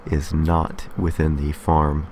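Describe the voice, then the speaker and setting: A man with a remarkably deep, low-pitched voice presents a casual game tutorial. He explains each step methodically, pausing to confirm before moving on. A light layer of noise sits behind his voice. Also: monotone, darkish tone. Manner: methodical, explanatory, checks in with "okay". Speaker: tutorial host.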